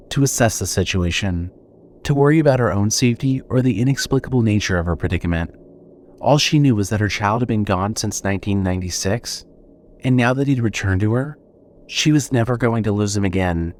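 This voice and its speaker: A man's voice: fairly low pitch, a little hiss or hum. A man narrating a scripted horror story as the narrator of an audio drama.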